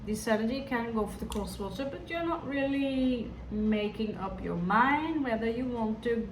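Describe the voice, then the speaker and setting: A woman's voice: a little high, lively intonation, moderate pace. A woman with a Hindi-influenced accent, giving a tarot reading to her viewers in a musing, hesitant way that often addresses them directly. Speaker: tarot reader. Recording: echoey room, distant mic, background noise.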